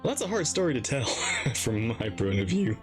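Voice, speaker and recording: A man's voice: mid-range voice, big pitch swings, moderate pace. A man casually commentating as he reacts to a video game, with game music behind him. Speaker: gaming reaction streamer.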